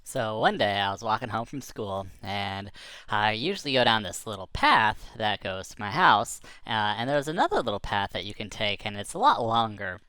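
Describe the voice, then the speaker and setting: Male storyteller, animated intonation, loose chatty delivery full of fillers. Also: on the low side, moderate pace, smooth, no echo, poor audio. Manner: casual, rambling, lots of fillers. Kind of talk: telling a tall tale.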